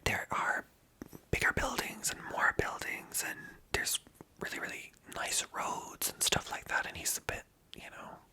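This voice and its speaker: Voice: measured pace, pushed and bright. A storyteller retelling an old legend in a casual, chatty whisper.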